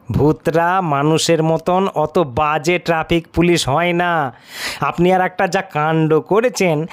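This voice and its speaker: A man's voice: fairly high pitch, no echo, close to an everyday voice. An actor playing an irascible, scornful male stranger in an audio story, scolding sarcastically. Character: irascible cigar-smoking stranger, scornful critic of ghost stories. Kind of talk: audio horror-comedy story acting.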